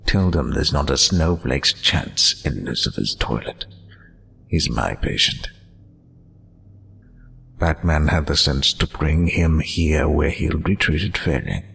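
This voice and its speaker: A man voicing a stern, protective asylum doctor, measured and authoritative, in a motion comic. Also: rumbling low, gravelly, a bit bright, a little hiss or hum, full character voice. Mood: firm, compassionate.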